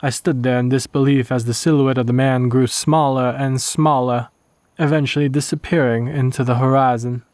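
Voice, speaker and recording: A man's voice: mid-range voice, fairly even intonation, normal speed, darkish tone, no echo, lightly acted. A man voicing a haunted Old West townsman with a Louisiana accent, narrating a horror story in a solemn, old-fashioned way. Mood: solemn, grim. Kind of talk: scripted first-person horror narration.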